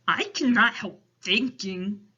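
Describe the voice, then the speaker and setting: A woman's voice: mid-range voice, lively intonation, slow, sharp tone, dull audio, slight background noise. A woman voicing a big friendly male giant from a children's storybook, tender-hearted and speaking in quirky broken grammar. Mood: concerned, curious.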